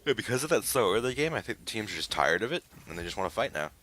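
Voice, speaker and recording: A man's voice: fairly low pitch, very animated, very fast, fairly bright tone. A man giving casual color commentary on a live game match, talking in a hesitant, rambling way. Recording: noisy live-stream mic.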